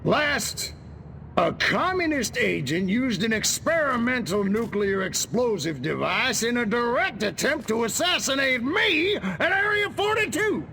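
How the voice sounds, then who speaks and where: A blustering 1950s army general from a video game, high and forceful with a gravelly edge. He is paranoid and overdramatic, every phrase full of bombast. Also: big pitch swings, a little slow, a little hiss or hum, heavily acted. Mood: alarmed, bombastic. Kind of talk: video game cutscene acting.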